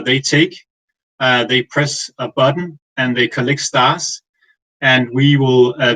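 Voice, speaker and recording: Male presenter with a Danish accent, average pitch, semi-formal conference delivery, somewhat halting. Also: fairly bright tone, low-quality recording. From Denmark. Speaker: guest speaker, finance executive.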